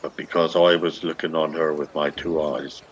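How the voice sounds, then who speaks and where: A man's voice: very deep voice, level tone, normal speed, muffled recording, slight background noise. An actor playing a lovestruck, shabby old Irish beggar man in a radio play, speaking in long, poetic, pleading runs. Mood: bitter, yearning. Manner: poetic, long rolling pleas. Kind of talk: lyrical stage radio-play acting.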